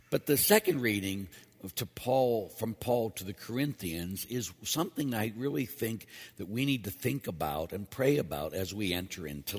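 A priest preaching in a church, a man with a lowish, husky and slightly hoarse voice. He talks to the congregation in a conversational way and drives his points home with repetition. The sound carries a long reverb from the church hall. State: losing his voice. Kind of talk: homily.

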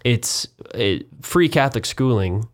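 A man's voice: mid-range voice, animated, unhurried. A young man from Kansas chatting in an interview about his parish work and hometown, rambling with many fillers and restarts and a dry, self-deprecating humor. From Wichita, Kansas. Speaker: parish communications and development coordinator, guest. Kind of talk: casual interview conversation.

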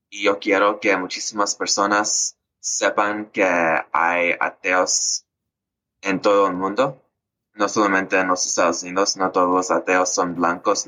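A man from North Carolina co-hosts a podcast in an unhurried, deliberate way, often pausing with fillers to find his words. His low voice has a bright, forceful edge. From North Carolina, USA. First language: Spanish. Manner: hesitant, searching for words with frequent fillers. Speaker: podcast co-host. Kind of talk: casual podcast chat.

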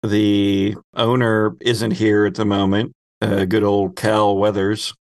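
A man narrating a tabletop roleplaying game as game master, often prompting the players with halting questions. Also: on the low side, flat delivery, a little mellow, no room sound.

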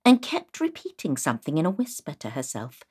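A woman giving an expressive scripted reading of a children's storybook. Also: low-pitched, a little fast, slightly rough.

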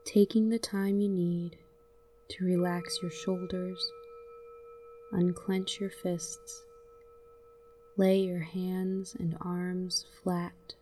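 A woman narrating a scripted guided meditation in a gentle, soothing manner, leaving long pauses between short phrases. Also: fairly low pitch, level tone, distant mic, soft background music.

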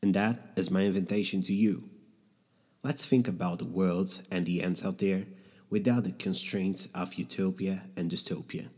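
A man whose first language is Portuguese, hosting his own podcast from his bedroom with a reflective, measured scripted narration. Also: low-pitched, fairly even intonation, a little mellow, long reverb tail, slight background noise. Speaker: podcast host. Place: bedroom in a noisy neighborhood.

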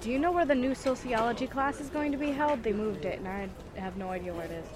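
A woman's voice: a little high, quick pace, over music, natural voice. A woman playing a moody, sarcastic, foul-mouthed college student in an amateur audio drama. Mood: polite, awkward. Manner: sarcastic, blunt, slangy.

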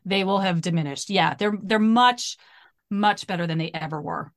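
A woman's voice: on the low side, moderate pace, dry recording, clean studio sound. A woman in her fifties from Ohio, a coach talking casually as a podcast guest and laughing often. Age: 50s. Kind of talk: casual interview chat.

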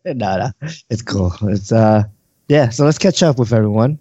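A man's voice: fairly low pitch, lively intonation, normal speed, warm tone, husky, dry recording, dull audio. A man hosting a podcast, chatting casually and easygoing while his voice sounds worn out from a sore throat. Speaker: podcast host. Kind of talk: casual chat.

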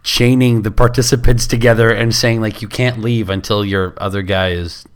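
A man's voice: lowish voice, steady tone, normal speed, clean tone. A man chatting on a podcast, making dry jokes and laughing between remarks. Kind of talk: casual podcast chat.

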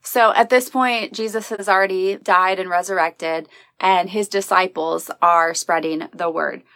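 A woman's voice: lowish voice, steady tone, unhurried, fairly bright tone, no room sound. A woman hosting an inspirational podcast, talking earnestly and encouragingly about faith. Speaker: podcast host. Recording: recorded as a live video.

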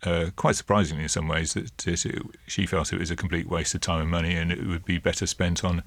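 Very deep-voiced Englishman, dry podcast sound. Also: flat delivery, fairly bright tone, no echo. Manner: measured, hesitant explaining with many fillers. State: a bit ill. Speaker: co-host and political editor. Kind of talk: casual political podcast chat.

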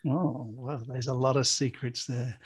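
A man's voice: mid-range voice, level tone, measured pace, slightly rough. A man who is a podcast guest, joking and teasing in casual banter. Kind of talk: casual interview chat.

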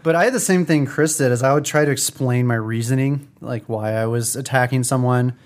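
A man's voice: mid-range voice, brisk. A man co-hosting a casual podcast, chatty and laughing easily as he tells stories. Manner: chatty, laughs often while telling stories. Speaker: podcast co-host. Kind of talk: casual chat, storytelling.

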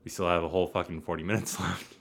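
A man's voice: sits low, flat delivery, fast, creaky, a bit bright. A young man co-hosting a podcast in casual chat, sarcastic and joking, swearing freely. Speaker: podcast co-host.

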